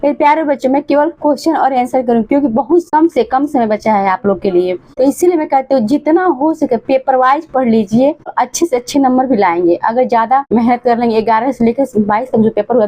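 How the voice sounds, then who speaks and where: A woman teacher with a hoarse throat, reading exam questions aloud and giving the answers to her students. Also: on the high side, dark tone, low-quality recording. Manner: addresses students fondly, reads questions then answers.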